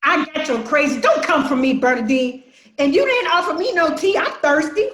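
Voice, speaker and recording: An actress playing a brash, resentful grown sister in a play reading, with a sassy, combative, slangy delivery. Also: female voice, sits high, animated, moderate pace, fairly bright tone, roomy sound. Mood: defensive, combative. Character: brash, defensive, resentful grown sister from Detroit, quick to snap.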